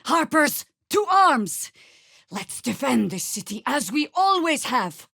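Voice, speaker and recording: A woman's voice: high-pitched, sing-song, slow, vocal fry, a bit bright, dry recording, exaggerated voice. A woman playing an older, seasoned druid warrior in a fantasy video game, wry, dry and commanding in manner. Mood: commanding battle cries.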